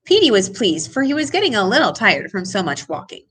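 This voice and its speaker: A woman reading a children's picture book aloud in an expressive way, with dramatic emphasis. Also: mid-range voice, brisk, breathy edge. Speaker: storybook reader. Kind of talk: animated children's read-aloud.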